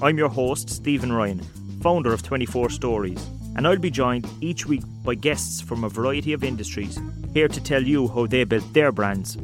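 A man's voice: mid-range voice, normal speed, over music. A man hosting a podcast, asking friendly, curious questions and backing the guest up with short replies. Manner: friendly, inquisitive, short backchannels. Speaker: podcast host. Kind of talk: podcast interview.

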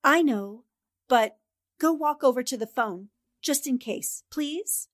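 A woman's voice: sits high, expressive, slow, dry recording, clean studio sound. A woman acting a determined, easily exasperated newspaper reporter in a 1940s-style radio drama. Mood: wary, businesslike.

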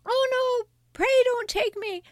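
Teeny baby goat voice, super high and thin, timid, pleading, fairy tale. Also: female voice, slow, no echo, clean studio sound. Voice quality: small, thin voice. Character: tiniest young billy goat, timid and pleading.

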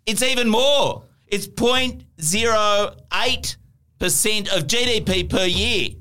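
A man with an Australian accent co-hosting satirical news banter, excitable and given to mock-grand emphasis. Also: high voice, takes it slowly. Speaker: podcast co-host.

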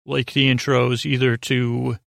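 A man with a gravelly, creaky voice and a close, dry sound hosts a bedtime podcast. He rambles in a meandering way, doubling back on his words and drifting into whimsical tangents. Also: mid-range voice, flat delivery, fairly bright tone, dry recording. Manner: meandering, halting, with repeated words and self-corrections. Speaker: podcast host. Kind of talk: rambling scripted-free bedtime podcast intro.

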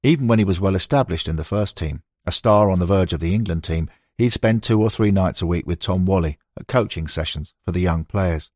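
A man's voice: sits low, a little fast, hardly any pauses, a little mellow, no room sound, clean studio sound. A man reading scripted narration as an audiobook narrator, moving between prose and quoted recollections.

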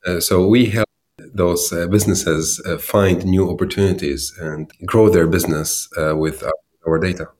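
A man from Syria, a startup founder in his thirties, answering interview questions with many pauses and restarts. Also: low-pitched, fairly even intonation, a little mellow. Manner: hesitant, many fillers and restarts.

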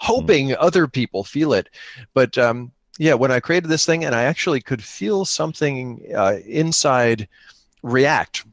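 A man's voice: medium pitch, expressive, a little slow, dry recording. A man in casual conversation, speaking earnestly and encouragingly with somewhat hesitant phrasing. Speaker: podcaster and guest. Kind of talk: casual chat about gaming.

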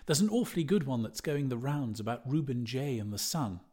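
A man's voice: medium pitch, expressive, fairly quick, dark tone, lightly acted. A man voicing an eager, awkward middle-aged character in a novel, keen to please as he speaks.

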